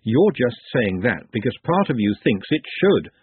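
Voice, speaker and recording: A man's voice: mid-range voice, very animated, normal speed, husky, dry recording. A man voicing a talking glass of sherry in a storybook, a cheeky tempter who coaxes and argues. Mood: cheeky persuasion. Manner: coaxing, brash, argumentative. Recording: muffled phone-like narrow band.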